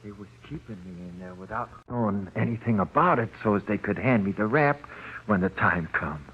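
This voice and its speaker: A man's voice: fairly low pitch, husky, phone-quality sound. An actor playing a panicky jailed gangster with slangy, uneducated tough-guy speech, in 1940s radio drama style. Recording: scratchy, thin old radio recording.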